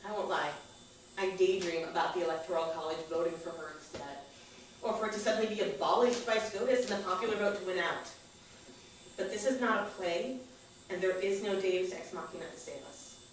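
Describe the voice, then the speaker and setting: A woman's voice: on the low side, fairly quick. A woman reading a personal monologue aloud on a small theater stage. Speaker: performer at a live stage reading. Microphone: distant camera microphone. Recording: echoey theater, far-off camera, faint noise.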